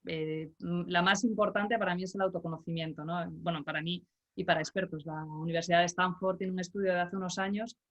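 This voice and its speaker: A middle-aged woman from Galicia, a leadership coach, answering interview questions over a video call in a measured, explanatory way with small restarts. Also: fairly low pitch, little pitch movement, fairly bright tone, highs cut off. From Galicia, Spain.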